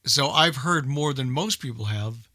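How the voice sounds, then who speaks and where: A man's voice: medium pitch, a little slow, bright, forceful tone, dry recording, studio quality. An older man chatting casually about old-time radio as a host and collector.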